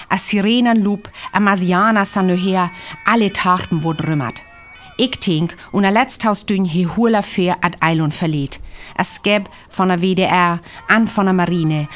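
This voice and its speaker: An actress playing a grave radio reporter at a disaster, with a North Frisian accent, in a radio drama. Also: female voice, fairly low pitch, natural voice. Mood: grave, resigned. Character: grave female radio reporter witnessing a Martian invasion.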